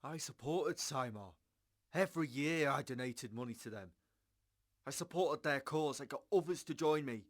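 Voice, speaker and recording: A man's voice: mid-range voice, moderate pace, no room sound, clean studio sound, natural voice. An actor playing an outraged, idealistic man in his forties in an audio drama, pleading and indignant. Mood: impassioned pleading. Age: 40s. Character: outraged, idealistic man of forty-three, a whistleblower.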